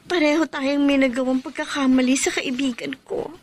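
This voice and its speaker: A woman's voice: high-pitched, steady tone, moderate pace, a little hiss or hum, natural voice. An actress in a radio drama playing an earnest young woman who warns a friend. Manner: earnest, turning frantic.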